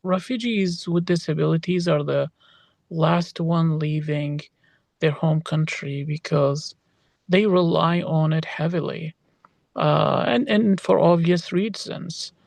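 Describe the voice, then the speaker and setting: A man's voice: on the high side, slow pace, gravelly, darkish tone, no room sound. A young man from Iraq, a doctoral student, answering interview questions hesitantly with many fillers and restarts. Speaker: interviewee, PhD student in social work.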